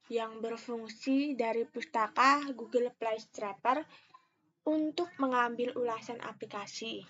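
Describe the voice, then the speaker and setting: A young woman speaks in a fairly high voice through a slightly muffled, lo-fi microphone. She presents like a student walking through a tutorial, explaining each step carefully and very slowly, with some hesitation. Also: fairly bright tone. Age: young adult. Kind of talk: step-by-step screen tutorial narration.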